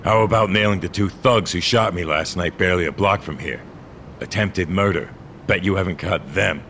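An actor playing a weary, bitter old courier, a gruff and sardonic loner, in a noir science-fiction audio drama. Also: male voice, low voice, moderate pace, fairly bright tone, a little hiss or hum. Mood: sardonic, defiant. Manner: brooding, world-weary, sardonic. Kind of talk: first-person noir audio drama acting.

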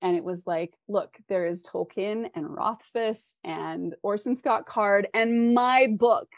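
A woman's voice: mid-range voice, takes it slowly, no echo, clean studio sound. A woman speaking as an author guest in a conversational interview, giving candid, encouraging and emphatic advice.

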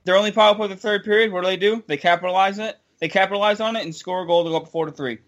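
A man's voice: high voice, steady tone, very fast, fairly bright tone. A young man hosting a casual sports chat, frustrated and incredulous, repeating himself as he complains. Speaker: podcast host.

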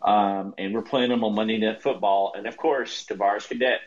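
A man's voice: on the low side, fairly even intonation, normal speed, over a noisy crowd. A man calling in to a sports show, telling stories casually as a fan, on dull call audio.

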